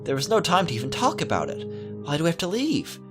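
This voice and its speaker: A man's voice: fairly high pitch, wildly swooping pitch, fast talker, music in the background, voice pushed up. A man voicing an innocent little girl reading her diary from a visual novel, earnest and childlike. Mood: sleepy, uneasy.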